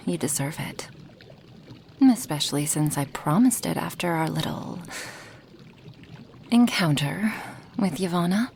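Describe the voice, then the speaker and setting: Woman acting as a soft dragon girl potion maker, asmr, lowish creaky voice, half whispering, affectionate, bit of noise behind. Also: animated. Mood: tender, teasing. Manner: slow, breathy half-whispers, sighs and hums. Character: affectionate female dragon, a potion maker tending her human guest. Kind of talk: soft intimate ASMR roleplay.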